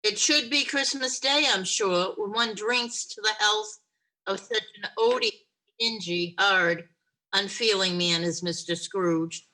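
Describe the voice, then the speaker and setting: Sharp-tongued, loving Victorian mother for a radio play, played by a woman, bright voice, deliberate emphatic pacing. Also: mid-range voice, low-quality recording. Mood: indignant. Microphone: video call.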